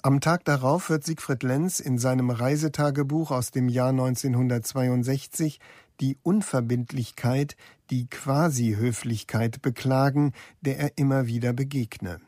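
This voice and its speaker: A male narrator with a dark, mellow, mid-pitched voice delivers scripted radio narration. The sound is dry and close, with no room on it. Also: fairly even intonation, a little slow, dry recording.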